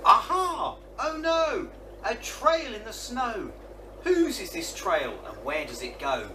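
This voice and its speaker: A man's voice: high-pitched, sing-song, takes it slowly, voice pushed up, full character voice. A man voicing a curious, defiant young female monster child in a storybook, exclaiming and questioning. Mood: excited. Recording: distant mic, noisy.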